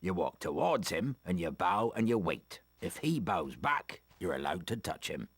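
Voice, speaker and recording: An actor playing a big, gentle gamekeeper who teaches about creatures in a video game, folksy and encouraging. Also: male voice, deep voice, very animated, moderate pace, slightly rough, no room sound, exaggerated voice. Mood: fond, encouraging. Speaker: teacher of a creature-care class. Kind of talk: video game character acting.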